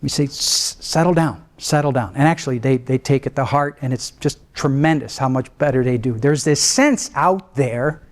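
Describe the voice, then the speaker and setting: A middle-aged man, a trial lawyer, lecturing law students in an animated way, swinging between a low calm voice and sudden raised mimicry. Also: moderate pace, hardly any pauses. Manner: animated, mimics other lawyers with rising pitch, then drops low to show restraint.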